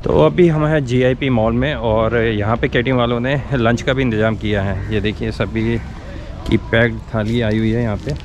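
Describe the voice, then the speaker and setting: A middle-aged man chats straight to his viewers in a casual vlog. He is recorded outdoors on a close action camera. Traffic and vehicle noise stay clearly audible behind him. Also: medium pitch, steady tone, moderate pace. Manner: chatty, addresses viewers directly. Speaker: motorcycle vlogger. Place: outdoors at a mall go-kart track. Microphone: action camera held close or helmet-mounted.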